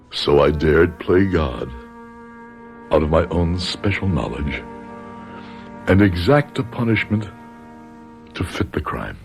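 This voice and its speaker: Deep-voiced, brooding older professor. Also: male voice, vocal fry, darkish tone, heavily acted. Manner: grave, deliberate, formal. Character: aging guilt-ridden psychology professor, brooding, grimly controlled. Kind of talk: radio drama acting.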